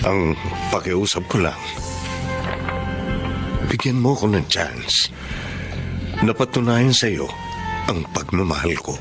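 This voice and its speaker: A man's voice: sits low, expressive, slow, long pauses. An actor with a Filipino accent playing a widowed father and earnest romantic lead in an emphatic radio drama style. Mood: tender, earnest. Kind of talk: Filipino radio drama acting with first-person narration. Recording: music under the voice.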